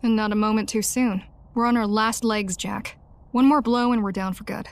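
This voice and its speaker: Gravelly, battle-weary woman leader played by an actress. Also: medium pitch, fairly even intonation, moderate pace, lightly acted. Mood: weary, resolute. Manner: curt, matter-of-fact. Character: battle-weary, hard-nosed female resistance leader. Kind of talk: video game cutscene dialogue.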